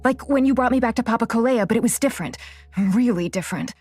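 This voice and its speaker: An actress playing a young blind psychic student, sarcastic and easily exasperated, in a naturalistic audio drama. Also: female voice, on the high side, fast talker, a little mellow, faint music behind, lightly acted. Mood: agitated, confused.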